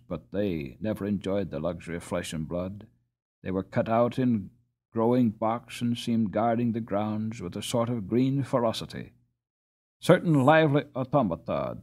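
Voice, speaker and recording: Expressive, husky male storybook narrator. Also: lowish voice. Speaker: audiobook narrator.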